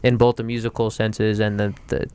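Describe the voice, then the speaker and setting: A man's voice: fairly low pitch, level tone, moderate pace, warm tone, clear, resonant tone. A man guesting on a podcast as a theater manager, talking eagerly and casually with many fillers. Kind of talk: casual interview chat.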